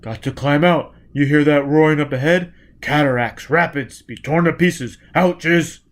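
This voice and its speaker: Man acting gruff, clipped, driven male fugitive. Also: medium pitch, normal speed, close to an everyday voice. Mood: forceful. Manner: clipped, forceful, terse.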